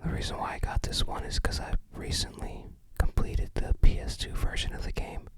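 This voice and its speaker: An ASMR host narrating an unboxing in a breathy, unvoiced whisper, with gentle, rambling commentary. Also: normal speed.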